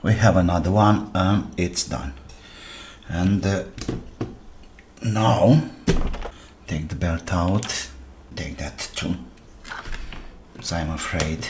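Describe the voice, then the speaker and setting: A man who speaks English as a second language, giving casual hands-on repair commentary as a channel host, haltingly thinking aloud while he works. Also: deep voice, noisy background. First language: non-native English speaker.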